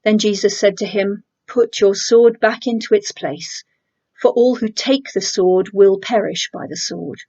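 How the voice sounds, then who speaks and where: A woman's voice: mid-range voice, a little slow, dark tone. Older woman reading, slightly dull sound. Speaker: congregation member reading scripture. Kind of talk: scripture reading. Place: room with bookshelves, indoors.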